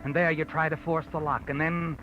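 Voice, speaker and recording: An actor playing a sardonic, knowing narrator, telling a 1940s radio mystery in an insinuating, dramatic second person. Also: male voice, on the high side, flat delivery, brisk, close to an everyday voice. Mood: suspenseful. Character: sardonic, knowing, sinister storyteller addressing the guilty woman. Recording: dull old radio broadcast, music behind.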